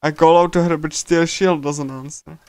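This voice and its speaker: A man livestreaming a game, casually commenting and reading aloud in a halting way, often repeating himself and stumbling. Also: on the high side, fairly even intonation, darkish tone, clean tone, no echo. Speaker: streamer. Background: game music.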